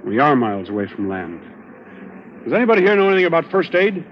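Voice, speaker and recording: An actor playing a decisive young navy commander in clipped, authoritative 1940s radio style. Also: male voice, a little high, very animated, fast, a little hiss or hum. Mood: firm. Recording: muffled old radio broadcast with loud backing sound.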